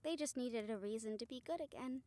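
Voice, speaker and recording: Woman acting gentle, high-voiced supportive friend. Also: brisk, natural voice. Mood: earnest, reassuring. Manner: soft, hesitant, reassuring, earnest. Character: gentle, supportive female rehabilitator comforting a friend. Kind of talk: intimate audio roleplay acting.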